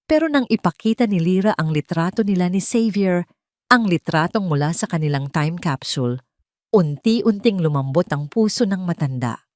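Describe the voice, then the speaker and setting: A woman's voice: lowish voice, animated, moderate pace, darkish tone, dry recording, clean studio sound. A woman with a Filipino accent reading a scripted, dramatic love story as narrator.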